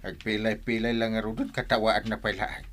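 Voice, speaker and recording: A man's voice: fairly low pitch, little pitch movement, a little fast, fairly bright tone, close to an everyday voice. A man acting as a blustering, exasperated husband and father in a radio comedy drama, with an Ilocano accent and a grumbling, exclamatory delivery.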